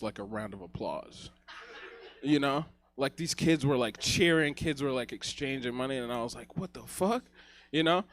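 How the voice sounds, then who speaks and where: A man's voice: medium pitch, a bit bright, dry recording. A young man from Rwanda doing a stand-up set on a comedy theater stage, rambling with lots of fillers and laughing at his own jokes.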